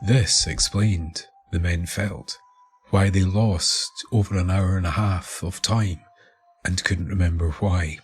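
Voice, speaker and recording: Male narrator, Scottish. Scripted mysterious storytelling, lowish pitch, unhurried pace, pauses for effect. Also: steady tone, husky. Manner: measured, deliberate, with dramatic pauses. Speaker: writer and narrator of the show. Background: music bed.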